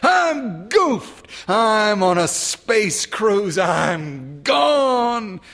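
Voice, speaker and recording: A man reading a story and voicing giddy young American soldiers yelling in wild exultation as they escape war. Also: high voice, very animated, very slow, pitched above the performer's own voice, full character voice. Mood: wild elation.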